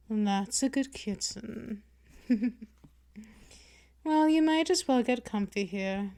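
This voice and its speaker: A woman's voice: a little high, a little mellow. A woman playing a dominant, patient vampire mistress in a scripted ASMR roleplay, speaking in a condescending, teasing purr straight to the listener. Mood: soothing, possessive. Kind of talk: scripted ASMR roleplay, intimate second-person address.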